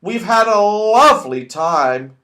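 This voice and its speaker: A man's voice: high voice, animated, slow, bright, forceful tone, voice pushed up, full character voice. A man voicing a bustling, cheerful male fairy-folk character with a hearty, exclaiming manner in a children's storybook. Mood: urgent, calling out.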